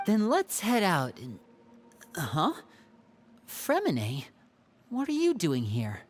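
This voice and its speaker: A man playing a confident young elder brother in a game, easygoing and coaxing, gently probing. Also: high voice, huge pitch swings, slow, slight background noise, heavily acted. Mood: calm. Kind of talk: game dialogue. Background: game music.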